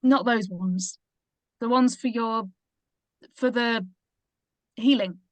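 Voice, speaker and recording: A woman's voice: on the high side, takes it slowly, no echo, highs cut off. A woman playing an uncomfortable, nagging mother of about sixty in a script read, fussy and chiding. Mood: fussy, disapproving.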